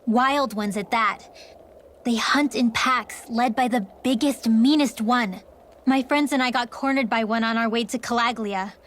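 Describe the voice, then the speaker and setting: A woman's voice: on the high side, measured pace, a bit bright, a little hiss or hum. A young woman voicing a plucky, candid girl guide in a video game. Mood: frank, cautioning.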